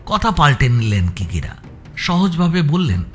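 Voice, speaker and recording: A man reads scripted suspense fiction as a narrator. His voice is a little high with lively rises and falls, and he phrases descriptions deliberately. Music plays faintly behind him. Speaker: story narrator.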